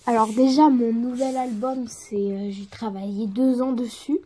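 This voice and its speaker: A woman acts out a modest, laid-back pop singer in their thirties, giving a role-played interview. The voice is very mellow and murmuring, with slow, halting phrases that trail off. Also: fairly high pitch, fairly even intonation. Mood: subdued, reflective. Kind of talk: improvised interview role-play.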